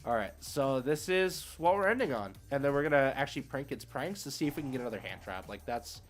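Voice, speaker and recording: A man with a medium-pitched voice hosts a live game stream over faint music. He talks through each move casually as he makes it, reasoning out loud in loose gamer slang. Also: lively intonation, fast. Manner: thinking aloud, informal gamer slang. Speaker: streamer hosting card game matches. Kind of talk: casual live gameplay commentary.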